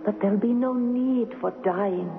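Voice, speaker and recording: A woman's voice: fairly high pitch, normal speed, creaky, long reverb tail. Passionate young noblewoman, old radio. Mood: devoted, hopeful. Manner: earnest, dramatic, formal. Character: delicate young noblewoman, devoted passionate lover. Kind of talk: 1970s radio drama acting. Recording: muffled narrow-band old radio with noise.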